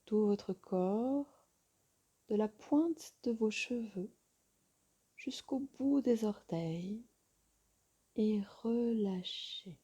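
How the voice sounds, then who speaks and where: A woman yoga teacher guiding a relaxation meditation in a soft, soothing, breathy voice with long pauses. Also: medium pitch.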